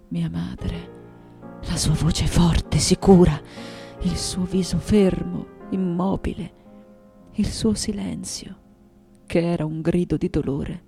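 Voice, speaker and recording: An actress playing an elderly woman artist, intense and wounded, recalling her childhood in a theatrical radio monologue with halting, dramatic phrases. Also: on the low side, creaky, warm tone. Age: older. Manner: dramatic, halting phrases with pauses, sinking into whispers.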